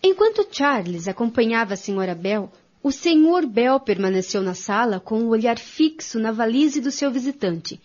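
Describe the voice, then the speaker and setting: A woman narrates a scripted radio drama in a slightly high voice with a breathy rasp. The recording sounds dull and lo-fi. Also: lively intonation, moderate pace, a little mellow.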